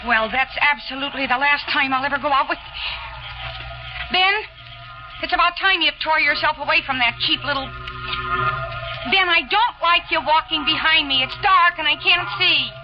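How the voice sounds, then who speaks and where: A woman voicing a huffy young woman with a very high, sharp voice. She speaks over music in a muffled old radio recording. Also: moderate pace, long pauses, natural voice. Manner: huffy and indignant. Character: haughty young woman, date of the drifter. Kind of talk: 1940s radio acting. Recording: muffled 1940s radio broadcast.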